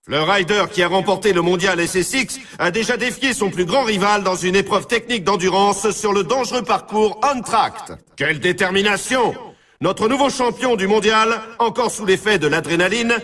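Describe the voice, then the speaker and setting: An actor playing an excitable snowboard race announcer in a video game, emphatic and showy, heard slightly at a distance. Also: male voice, high-pitched, normal speed. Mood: dramatic hype. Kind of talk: scripted video game sports commentary.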